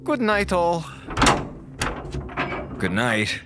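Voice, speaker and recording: An actor playing an exasperated, wisecracking communications officer on a space station in an audio drama, sarcastic and dramatic. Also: male voice, fairly high pitch, wildly swooping pitch, extremely slow, over loud music, heavily acted. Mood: wry, weary.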